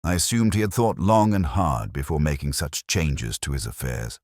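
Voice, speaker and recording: A man's voice: extremely low pitch, lively intonation, moderate pace, darkish tone, no echo, clean studio sound. A man voicing a guarded, composed Victorian woman with formal, evasive speech in an audiobook story. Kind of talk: audiobook character dialogue.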